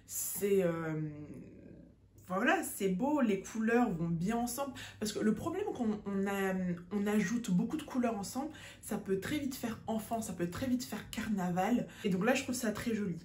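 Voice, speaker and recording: A woman's voice: medium pitch, a little mellow. Woman, casual vlog, hesitant and chatty, echoey room. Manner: chatty, many hesitations, mixes in English words. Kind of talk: casual chat to camera.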